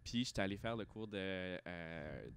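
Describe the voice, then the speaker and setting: Man, thirties, low smooth voice, Quebec French accent, candid, emphatic, casual interview guest. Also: expressive, moderate pace. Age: 30s. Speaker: podcast guest, drag performer and hospital respiratory therapist.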